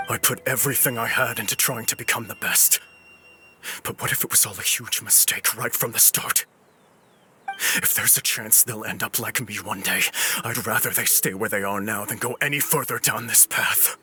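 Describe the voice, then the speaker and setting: A man's voice: on the low side, over music, natural voice. A man playing a remorseful middle-aged sword master and teacher in a video game, speaking formally and earnestly. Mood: despairing doubt. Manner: earnest, formal, halting when distressed. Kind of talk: dramatic video game acting.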